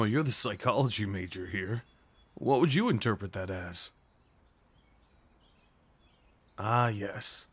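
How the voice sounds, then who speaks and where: A man acting as a big, gentle guy on a first date, in a mid-pitched voice that swings widely up and down. He is sweet and a little nervous, teasing playfully with boyish enthusiasm in an intimate ASMR roleplay. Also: slightly rough, dry recording. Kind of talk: ASMR roleplay audio drama, one-sided conversation.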